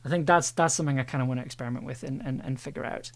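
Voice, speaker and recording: A man's voice: mid-range voice, rapid-fire, vocal fry. A man chatting casually on a livestream as an audio editor, thinking aloud while working. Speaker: audio drama editor and streamer. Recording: faint noise, keyboard clicks. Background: keyboard typing and clicks.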